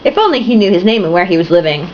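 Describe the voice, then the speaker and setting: A woman's voice: fairly low pitch, expressive, rapid-fire, slight background noise. A tipsy young woman reading a novel aloud, breaking off with swearing, giggling asides, on a slightly muffled home recording. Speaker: reader.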